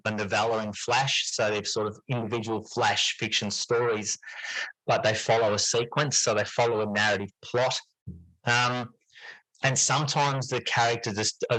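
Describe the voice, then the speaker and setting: A man's voice: lowish voice, fairly bright tone, no echo, rough recording. A middle-aged man talking reflectively as an interview guest, often repeating words and hedging as he explains his thoughts. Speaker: podcast guest, teacher and fiction writer.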